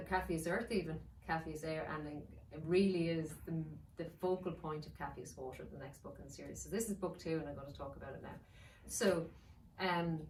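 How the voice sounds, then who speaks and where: A woman speaking as a children's author, storytelling and reading aloud for young listeners. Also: low-pitched. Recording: roomy, distant mic, faint noise.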